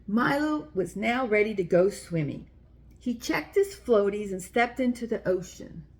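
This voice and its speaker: A woman with a mid-pitched voice hosts a story time for young children. She speaks in the style of a picture-book read-aloud. Her phrasing is simple and explanatory, aimed straight at her little listeners. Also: animated, measured pace.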